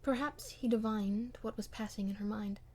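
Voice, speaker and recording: A woman reading a classic novel aloud as an amateur audiobook narrator, with expressive scripted delivery. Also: mid-range voice, moderate pace.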